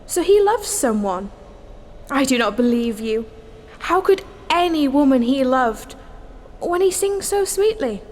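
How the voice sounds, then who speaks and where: An actress playing an idealistic, romantic young dancer in an earnest, theatrical stage style. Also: female voice, high voice, very animated, unhurried, full character voice. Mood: tender, earnest. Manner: earnest, declamatory. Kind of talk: theatrical stage morality play acting. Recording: noisy, reverberant hall recording.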